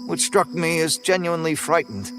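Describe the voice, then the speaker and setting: A man narrating a first-person horror story in a relaxed, folksy way, over faint music. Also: fairly high pitch, moderate pace.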